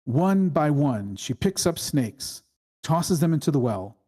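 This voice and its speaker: A narrator reading screenplay stage directions at a table read in measured, deliberate short phrases. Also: medium pitch, very animated, mellow. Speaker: screenplay reader.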